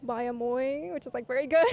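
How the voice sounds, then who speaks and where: Brief: teen girl interviewee from South Africa, high and quick, chatty, light background noise. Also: animated, darkish tone. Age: late teens. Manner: chatty, laughs often, fast upbeat talk. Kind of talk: casual street interview.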